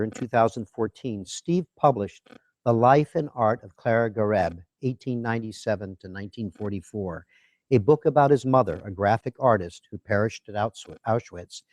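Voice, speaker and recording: A man hosting a live interview at a museum, introducing the guest and asking questions in a measured way. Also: fairly low pitch, darkish tone, no echo, poor audio. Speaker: interviewer and host.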